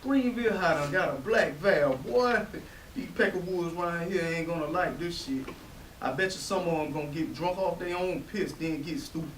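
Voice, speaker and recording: A man's voice: fairly high pitch, normal speed, a bit bright, close to an everyday voice. Man playing a brash street-tough brother, roomy and distant. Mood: excited, proud. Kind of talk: staged play reading. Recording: distant roomy stage recording with hiss.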